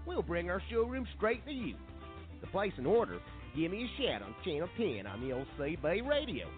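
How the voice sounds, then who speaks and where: A high, hugely animated redneck salesman with a drawl performed by a man, folksy and shameless. It is a parody radio ad, tinny, with music playing underneath. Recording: tinny lo-fi stream over music.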